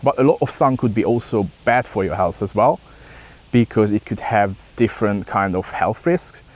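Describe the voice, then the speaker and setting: A man with a fairly low voice and a Hungarian accent speaks reflectively, as if to his vlog viewers. His English is non-native, with hesitant phrasing as he works through his thoughts. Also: expressive, moderate pace, darkish tone. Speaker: vlogger. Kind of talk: reflective talk to camera.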